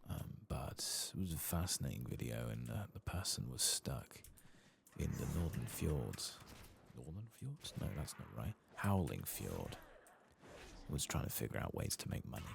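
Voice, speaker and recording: A man speaks in an extremely deep, soft voice that crackles heavily with vocal fry. He murmurs an unhurried, musing ASMR commentary over a game, sometimes slipping toward a whisper. Faint noise sits behind him. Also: very animated. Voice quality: soft, hushed, breathy at times. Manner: unhurried, musing, murmuring to himself while playing. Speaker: gaming video creator.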